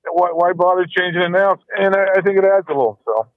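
A man calling a radio show, giving casual opinions in a halting, repetitive way over a narrow phone line. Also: high-pitched, no echo. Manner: halting, repeats words.

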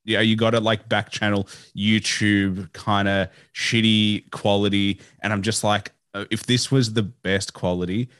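A man's voice: lowish voice, level tone, unhurried, few pauses, fairly bright tone, clear, resonant tone, no room sound, clean studio sound. A man in his late twenties chatting casually on a podcast in an easygoing way. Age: late 20s.

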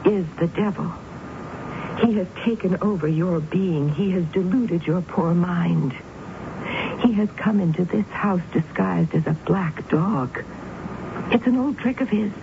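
An unhinged sister sure the dog's the devil, intense and cold, tinny old radio hiss. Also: female voice, lowish voice, unhurried, vocal fry. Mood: menacing. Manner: intense, obsessive, coldly insistent. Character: unhinged woman convinced a dog is the devil. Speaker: narrator and lead character. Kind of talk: 1970s radio drama acting.

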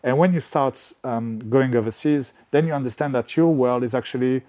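A man from France with a French accent, speaking in a podcast interview as a real estate agent, pausing often and restarting his sentences. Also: mid-range voice, warm tone. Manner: halting, many restarts and fillers. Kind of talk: conversational interview.

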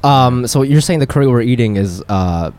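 Halting man with a mid-pitched voice. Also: lively intonation, darkish tone. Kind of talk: casual interview chat.

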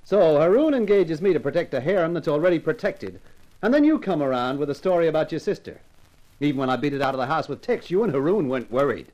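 Male lead: tough wisecracking gumshoe, animated pitch, slangy and sardonic, vintage radio thriller. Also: fast, poor audio. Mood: bitter, sardonic. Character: wisecracking hardboiled American male private detective, weary and defiant. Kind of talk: 1950s radio crime drama acting with first-person narration.

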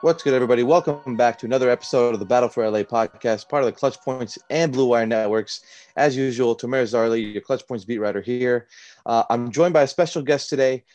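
Fast-talking man hosting a sports podcast. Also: mid-range voice, a little mellow, soft background music. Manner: friendly, filler-heavy questions. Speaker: podcast host, sports beat writer. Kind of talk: casual interview.

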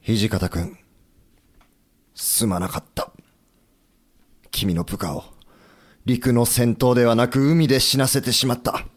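A man acting an earnest, humble, idealistic leader of a rebel government in a historical audio drama, speaking in a measured, sincere, formal way. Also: medium pitch, fairly even intonation. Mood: remorseful.

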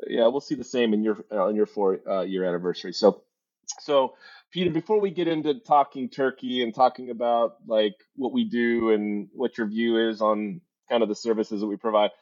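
A man with a lowish voice hosts an interview and leads the talk. He sounds upbeat and loose, and his speech is full of fillers. The audio is dull and slightly lo-fi. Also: moderate pace, a little mellow. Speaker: host, company leader. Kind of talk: conversational interview.

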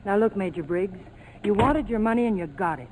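A woman's voice: medium pitch, lively intonation, fairly quick, a little mellow, natural voice. An actress playing a sardonic, guarded young woman in the cool style of 1940s radio drama. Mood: defiant, annoyed. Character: hard-boiled sardonic young American woman, defiant and guarded. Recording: noisy old 1940s radio broadcast.

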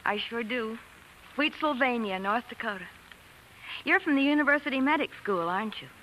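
An actress playing a spunky, plain-spoken young nurse trainee in a 1940s radio drama, forthright and folksy. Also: female voice, on the high side, lively intonation, a little fast, sharp tone. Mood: frank, friendly. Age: young adult. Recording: noisy vintage 1940s radio broadcast.

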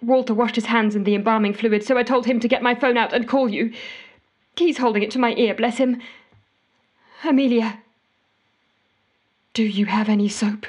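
High-voiced, frantic woman an actress plays her. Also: steady tone, moderate pace, long pauses, natural voice. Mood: pleading. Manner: exasperated, dramatic storytelling. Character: frantic woman desperate to wash her itchy hands. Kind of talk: audio fiction monologue, told as a phone call.

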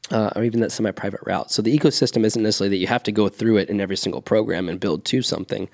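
A man in his thirties with a lowish, gravelly voice, talking through ideas in a casual podcast chat. He explains earnestly and often restarts his sentences as he thinks aloud. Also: rapid-fire, darkish tone. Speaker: podcast co-host, fitness coach.

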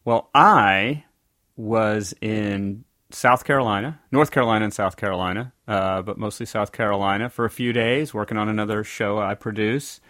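A low-pitched man from the American South co-hosts a casual podcast. His delivery is rambling and conversational, full of restarts and asides. The recording is dry and close. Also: normal speed, fairly bright tone, no echo. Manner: rambling, self-correcting storyteller. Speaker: podcast co-host.

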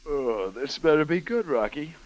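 An actor playing a sleepy, easygoing, wisecracking translator friend in a 1940s radio drama. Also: male voice, fairly high pitch, lively intonation, moderate pace, a little mellow, muffled recording, natural voice. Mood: drowsy joking. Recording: old radio recording, faint noise.

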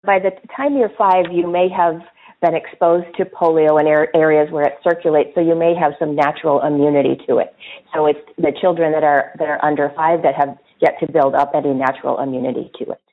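Low-voiced woman on a tinny line. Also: fairly even intonation, brisk. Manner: explanatory, with small restarts. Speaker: health program director. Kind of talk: interview explanation.

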